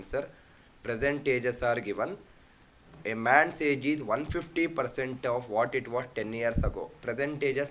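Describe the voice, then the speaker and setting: Man, patient math tutor, repeats himself, dull low-fi audio, faint hiss. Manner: explains step by step, repeats points, addresses viewers as sir. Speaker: online exam-prep teacher. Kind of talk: live-streamed math lesson.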